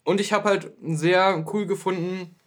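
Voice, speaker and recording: Man, high voice, podcast co-host, casual film talk. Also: steady tone, a little slow, a bit bright.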